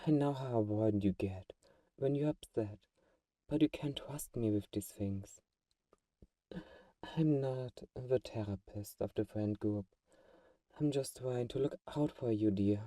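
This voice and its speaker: A man playing a doting but secretly manipulative vampire friend in an ASMR roleplay, soft-spoken and fussing, with a mellow, dark tone. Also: medium pitch, unhurried, pauses often, no room sound, recorded from a distance, close to an everyday voice. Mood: fussing, concerned.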